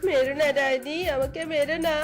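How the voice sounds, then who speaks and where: A woman's voice: sits high, steady tone, brisk, soft background music, natural voice. A woman voicing a jealous, greedy village neighbour in a cartoon fairy tale, talking in a dramatic, complaining way. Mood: terrified pleading.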